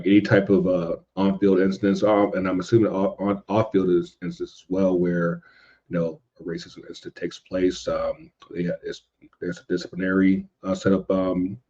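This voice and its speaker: A man chatting casually as a podcast guest, halting and circling back over his phrases. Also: sits low, little pitch movement, a little mellow, muffled recording, a little hiss or hum. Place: indoors at home in a city.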